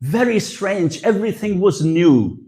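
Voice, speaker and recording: A man delivers a religious lecture at an unhurried pace. His voice sits high and moves widely in pitch. He circles back on key phrases for emphasis as he tells personal stories. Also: sing-song, warm tone. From Pakistan. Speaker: guest speaker at a religious gathering.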